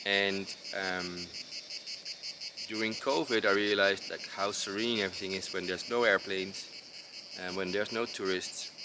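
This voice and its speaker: Dutch man, deep low voice, casual hesitant explainer, clear crickets and insects in the background. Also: steady tone, a little slow, noisy background. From Netherlands. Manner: hesitant, with many fillers and restarts. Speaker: designer explaining his approach. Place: outdoors in rural mountains.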